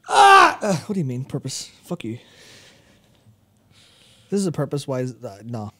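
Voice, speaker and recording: A man's voice: a little high, wildly swooping pitch, normal speed, heavy background noise. A man casually reacting to game cutscenes as a co-host, jokey and sardonic, sometimes sweary.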